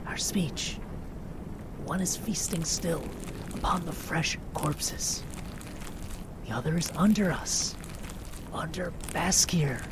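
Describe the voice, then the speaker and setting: A man's voice: a little high, background noise. A man playing a learned, watchful fey adventurer who speaks in grave, terse, formal commands in a fantasy horror audio drama.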